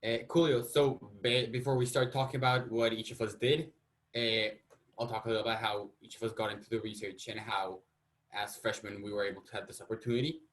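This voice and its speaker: A man's voice: lowish voice, little pitch movement, brisk, a bit bright. A young man from Colombia, a student presenting his research in a casual, self-deprecating way. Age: young adult. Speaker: student panelist.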